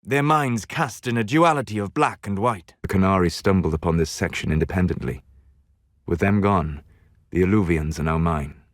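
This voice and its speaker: A man acts a scholarly elven mage for a video game, in a close, dry recording. He is calm and proud, with a melancholy weight. Each phrase is formal and measured, set down with deliberate care. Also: deep voice, no echo, close to an everyday voice. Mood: solemn, resolute. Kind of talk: video game character dialogue.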